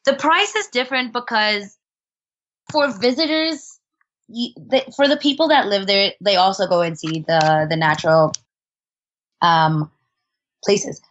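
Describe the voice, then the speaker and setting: A chatty woman guest on a podcast, talking loosely with lots of fillers and little laughs. Her voice sits at an everyday middle pitch. The audio is a bit dull and lo-fi. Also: measured pace, long pauses, a bit bright, a little hiss or hum. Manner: chatty, laughs often, many fillers and restarts. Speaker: interview guest, traveler. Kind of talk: casual conversational storytelling.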